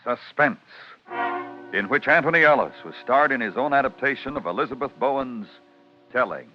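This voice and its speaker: Old-time radio announcer over prominent music. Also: male voice, mid-range voice, lively intonation. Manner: measured, dramatic delivery. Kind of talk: 1950s radio scripted announcing. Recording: muffled vintage radio broadcast.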